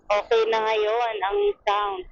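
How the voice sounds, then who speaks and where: A woman with a Filipino accent and a fairly high voice chats casually during a ride. The sound is a little dull, like a helmet intercom, with faint background noise. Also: very animated, slow, vocal fry. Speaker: vlogger's wife and passenger. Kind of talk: casual chat. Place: outdoors on a motorcycle ride.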